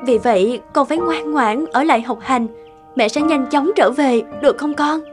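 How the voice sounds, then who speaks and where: A woman voicing a gentle, sorrowful young mother soothing a child in a cartoon. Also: high voice, normal speed, natural voice. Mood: tender, sad. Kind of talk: Vietnamese cartoon dubbing. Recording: long reverb, music underneath.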